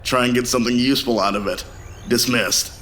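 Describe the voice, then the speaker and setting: A man acts an authoritative military captain in an audio drama, with a mid-pitched voice. He is stern and measured, giving orders with gravity. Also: steady tone, fairly bright tone, a little hiss or hum, lightly acted. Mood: commanding. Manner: grave, measured, commanding.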